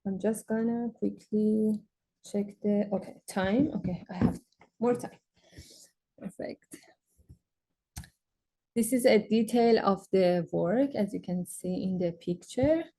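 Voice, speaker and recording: A young woman with a Persian accent presents her art at a symposium, speaking slowly in a mellow, dark tone. She reads from prepared text but often stumbles into fillers and restarts. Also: medium pitch. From Iran. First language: Farsi. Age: young adult. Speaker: graduate student artist presenting.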